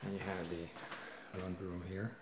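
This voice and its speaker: Deep-voiced man touring a house. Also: flat delivery, a little slow, heavy background noise. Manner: plain, matter-of-fact, pointing out rooms as he walks. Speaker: realtor giving a home tour. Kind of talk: casual walk-through narration. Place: empty house and its yard, indoors and outdoors.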